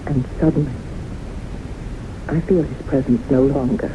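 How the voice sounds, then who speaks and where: An actress playing a haunted, earnest woman in a 1970s radio drama, speaking with halting, deliberate intensity. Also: extremely low pitch, fairly even intonation. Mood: haunted, slow. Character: haunted, earnest woman convinced death pursues her. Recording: noisy, muffled 1970s radio broadcast recording.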